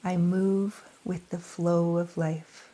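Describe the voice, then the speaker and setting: Calm woman leading yoga nidra, low-pitched and unhurried, gentle pauses. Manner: slow, soft, soothing delivery with long pauses. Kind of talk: guided meditation.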